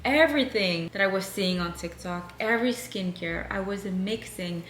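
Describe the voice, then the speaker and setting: A woman's voice: medium pitch, normal speed, fairly bright tone, echoey room, a little hiss or hum. A woman in her twenties, a native French speaker from Canada, chatting casually as a vlogger answering viewer questions. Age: 20s. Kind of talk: casual chat while decorating.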